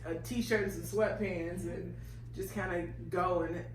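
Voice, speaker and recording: A woman co-hosting a casual relationship chat, playful and quick to laugh and tease. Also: fairly low pitch, fairly even intonation, reverberant, a little hiss or hum, poor audio. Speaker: co-host.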